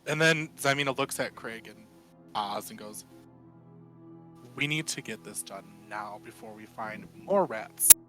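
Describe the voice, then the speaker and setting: A man's voice: mid-range voice, a little slow, over music. A man playing a tabletop roleplaying game, narrating his character casually with a wry, exasperated manner.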